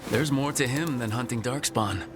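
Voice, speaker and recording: An actor playing a good-natured, dryly joking hero in a fantasy video game, with natural delivery. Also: male voice, medium pitch, moderate pace, lightly acted. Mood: wry. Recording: game music behind the voice.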